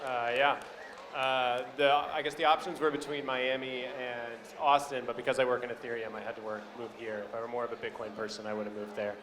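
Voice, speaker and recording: A man on a stage panel talks casually to a live audience. His pitch is average, and the crowd is clearly heard around his voice. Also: level tone, fairly quick, low-quality recording. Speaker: panel speaker. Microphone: stage microphone. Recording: audience murmur at live event.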